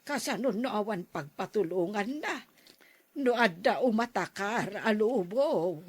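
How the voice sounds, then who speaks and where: A woman playing a concerned older village mother with a husky voice in a Filipino audio drama. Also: mid-range voice, expressive, a little slow, no room sound.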